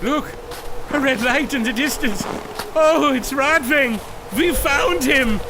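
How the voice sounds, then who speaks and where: An actor playing a melodramatic, aggrieved master of a robot servant, theatrical and wailing, in a pulp radio adventure. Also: male voice, very high voice, slow. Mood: overjoyed. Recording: loud storm and ambient noise.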